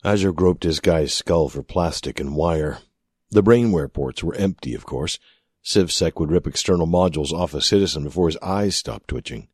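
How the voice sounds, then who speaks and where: Very deep-voiced male narrator. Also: normal speed, darkish tone, husky, no echo, clean studio sound. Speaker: story narrator. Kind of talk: scripted fiction narration.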